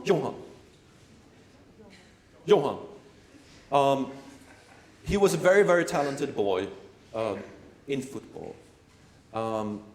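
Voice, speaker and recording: A man giving a live performance lecture, rambling with many fillers and repeated words and tossing playful asides to the audience. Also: fairly high pitch. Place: lecture room at an art academy. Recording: echoey room.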